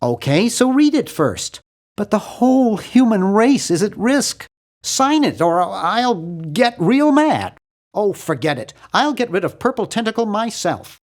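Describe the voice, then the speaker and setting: A man voicing a nerdy, earnest and anxious young hero in a video game, with a fussy, animated delivery. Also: sits high, measured pace, full character voice. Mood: insistent. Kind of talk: video game character voice lines.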